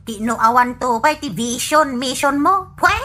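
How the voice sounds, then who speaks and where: A woman playing a high, scolding old wife. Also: measured pace, heavily acted. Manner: sharp, scolding, incredulous. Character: exasperated old wife who scolds her husband. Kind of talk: comedy radio drama acting.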